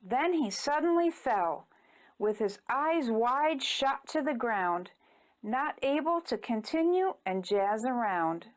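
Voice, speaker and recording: A woman reading a rhyming children's story aloud in an expressive, deliberate, theatrical way. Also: on the high side. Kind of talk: rhyming picture-book read-aloud.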